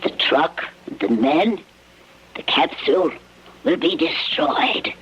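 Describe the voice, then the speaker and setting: An actor voicing a small, wary, menacing alien visitor in a radio drama, with stilted, formal phrasing and a husky, breathy voice. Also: mid-range voice, lively intonation, fairly bright tone, heavily acted. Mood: solemn warning. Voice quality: husky, breathy, often near a whisper. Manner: halting, stilted, formal phrasing without contractions. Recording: dull lo-fi old radio recording.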